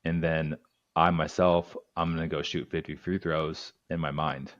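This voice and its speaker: Very deep-voiced calm breathwork coach. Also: male voice, moderate pace, no echo, dull audio. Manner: calm, instructive, speaks in short guiding phrases. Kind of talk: podcast interview, guiding breathing exercises.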